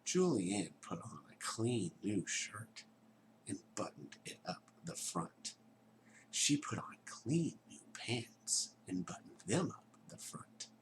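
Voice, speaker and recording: Very animated man reading to children. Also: fairly low pitch, slow, slight background noise, poor audio. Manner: theatrical, dropping into hushed tones for emphasis. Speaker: storyteller reading a picture book aloud. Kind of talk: animated children's read-aloud with chatty asides to listeners.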